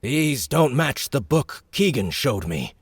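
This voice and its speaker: A man voicing a huge, shrewd male baron who speaks slowly and commands the room, in a dry close recording. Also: medium pitch, big pitch swings, breathy edge, no room sound, pitched above the performer's own voice, exaggerated voice. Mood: commanding. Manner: slow, measured and domineering.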